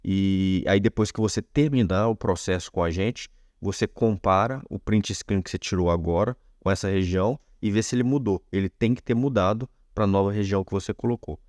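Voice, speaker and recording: A man's voice: lowish voice, brisk, hardly any pauses, a little mellow, dry recording, clean studio sound. A man with a Brazilian accent hosting a casual step-by-step tech tutorial, explaining informally and speaking directly to viewers.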